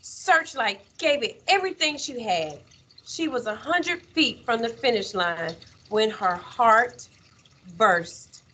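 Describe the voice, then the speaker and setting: A woman teacher reading a children's story aloud in an expressive, measured way, with dramatic pauses. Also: fairly high pitch, slightly rough.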